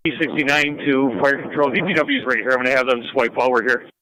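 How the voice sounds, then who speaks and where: A man radios dispatch from the field. His voice is mid-pitched and bright, hurrying through short updates with a dry scanner sound. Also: quick pace, low-quality recording. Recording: two-way radio scanner transmission.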